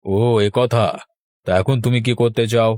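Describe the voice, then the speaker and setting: A man voicing a stern, principled middle-aged village landowner in a cartoon, speaking in a measured, formal way. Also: mid-range voice, steady tone, darkish tone, no room sound, studio quality, lightly acted. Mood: calm. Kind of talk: cartoon voice acting.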